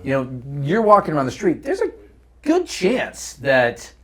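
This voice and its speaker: A man's voice: fairly high pitch, huge pitch swings, unhurried, faint music behind. A man hosting a casual panel chat in a jokey, conversational way, acting out bits as he goes. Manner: jokey, acts out quoted lines. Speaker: talk show host.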